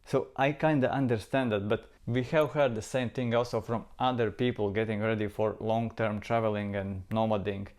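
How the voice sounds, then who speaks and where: A man's voice: fairly low pitch, steady tone, normal speed, hardly any pauses, a little mellow. A man from Latvia with a Latvian accent, talking to camera as a travel vlogger in an earnest, reflective way and repeating words for emphasis. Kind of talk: casual talk to camera.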